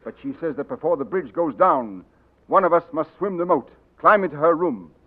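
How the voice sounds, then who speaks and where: An actor playing a gruff, loyal older colonel in a 1940s radio drama, blunt and stern. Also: male voice, a little high, expressive, normal speed. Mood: briefing. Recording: tinny 1940s radio broadcast.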